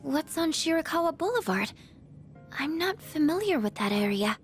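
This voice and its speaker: A very high-pitched, timid teenage girl from a video game. She is gentle and hesitant, quick to apologise and doubt herself, with music softly underneath. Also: sing-song. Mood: earnest, alert. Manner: hesitant, apologetic, soft-spoken. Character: shy, self-doubting, gentle teenage girl good with machines. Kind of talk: English video game dub acting.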